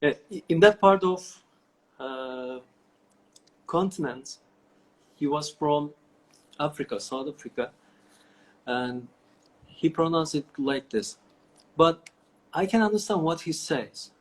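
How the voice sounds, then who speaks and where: A man's voice: on the high side, expressive, takes it slowly, pauses often, rough recording. A middle-aged man with a Turkish accent, answering interview questions over a video call, with many fillers and restarts. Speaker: guest. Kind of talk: online interview.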